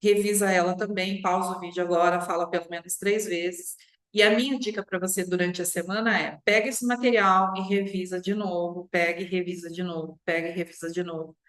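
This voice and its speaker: A woman's voice: fairly low pitch, fairly even intonation, fairly quick. A Brazilian woman giving an online English lesson as a teacher, instructive and often checking in with short tag questions. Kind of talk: online language lesson to viewers. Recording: roomy, echoing sound.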